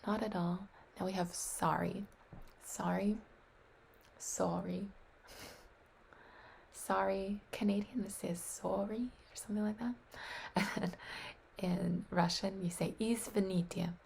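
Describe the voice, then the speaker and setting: A woman's voice: mid-range voice, fairly even intonation, takes it slowly, vocal fry, long pauses, a little mellow, a little hiss or hum. A woman practicing foreign phrases aloud, often whispering, repeating words and doubting her own pronunciation. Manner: unsure, self-deprecating. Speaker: language learner practicing phrases.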